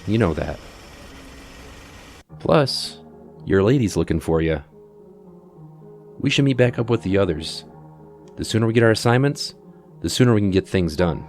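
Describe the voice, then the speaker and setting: An easygoing oil rig worker in an audio drama. His voice is low and he talks informally like a cheerful buddy, with music clearly behind him. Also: lively intonation, normal speed, pauses often, darkish tone. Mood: reassuring. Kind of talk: casual audio drama dialogue.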